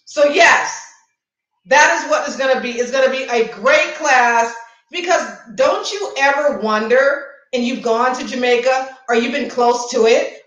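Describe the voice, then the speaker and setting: Woman livestream host, chatty and playful, high voice, roomy echo. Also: fairly bright tone, lo-fi sound. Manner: chatty, playful, addresses viewers directly. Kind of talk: casual livestream chat with promotions.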